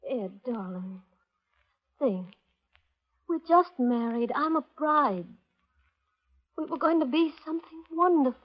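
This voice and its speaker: An actress playing an anxious, pleading young bride in a 1940s radio melodrama, earnest and distressed. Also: female voice, high-pitched, lively intonation, measured pace, pauses often. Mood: tearful, earnest. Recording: dull, faintly noisy 1940s radio recording.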